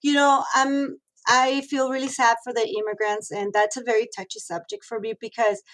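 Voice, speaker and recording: A woman's voice: fairly high pitch, little pitch movement, moderate pace, fairly bright tone, rough recording. A woman in her thirties, originally from Mexico, talking frankly in a casual interview, with many fillers and restarts. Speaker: guest, radio host and model.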